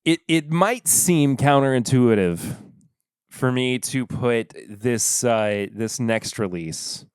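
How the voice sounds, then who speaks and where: A man hosting his own podcast, talking casually through his picks, with lots of fillers and restarts and a slightly hoarse voice. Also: mid-range voice, slow, no echo, studio quality. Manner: relaxed, rambling, many fillers and restarts. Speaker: podcast host. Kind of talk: casual solo review talk.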